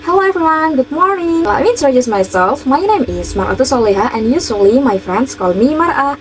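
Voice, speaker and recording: Young Indonesian-accented woman, higher voice. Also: animated, fairly quick, rough recording. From East Java, Indonesia. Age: young adult. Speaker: university student presenter. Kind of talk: rehearsed presentation and simple storytelling. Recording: clear background noise.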